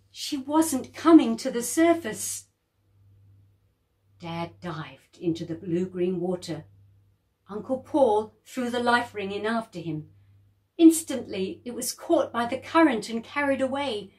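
A woman reading a children's story aloud, expressive and lively, lifting her voice high for the children's lines. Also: slow pace, long pauses.

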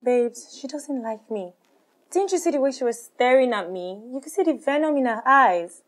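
A jealous young girlfriend with a Nigerian accent, high-pitched and swooping in tone, as a woman plays her. She presses her point with insistent, animated talk. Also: moderate pace. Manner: pleading then mocking, lively.

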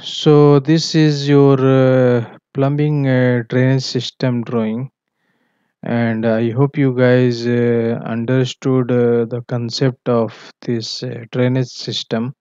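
A man explains engineering drawings in a slow, level delivery that keeps stopping for fillers and self-corrections. His tone sits on the dark, mellow side. The voice-over sounds dull and lo-fi, recorded dry with no room sound. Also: medium pitch, little pitch movement, clear, resonant tone, no echo. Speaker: MEP engineering tutor. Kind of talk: screen-share drawing walkthrough.

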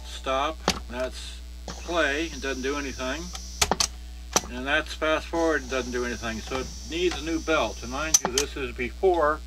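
A man's voice: medium pitch, measured pace. A man giving a casual, unscripted hands-on demonstration, rambling and half muttering to himself as he handles things. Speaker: presenter of a product review. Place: indoors at a cluttered wooden workbench. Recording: music and electrical hum behind. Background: music, mains hum.

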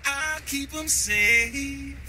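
An actor playing a slick, crude pimp sidekick in a video game, a man with a slangy, smooth-talking manner. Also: very high voice, drawn-out words, full character voice. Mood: slick, casual. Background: loud music.

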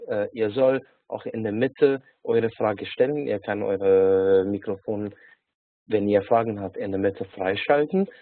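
A man from Hungary speaking with a Hungarian accent, teaching an online lesson on a video call, halting with many filler sounds and restarts. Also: fairly low pitch, dry recording. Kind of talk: online lecture. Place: small indoor room. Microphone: webcam or laptop mic on a video call. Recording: tinny phone-like video call.

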